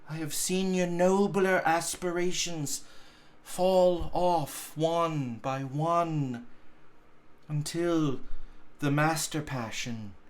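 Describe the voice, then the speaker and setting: A gentle young woman from a storybook, read by a man, full of sorrow and quiet resolve. The delivery is very slow and grave, with halting, deliberate phrases. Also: fairly high pitch. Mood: sad reproach. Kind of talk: dramatic storybook reading.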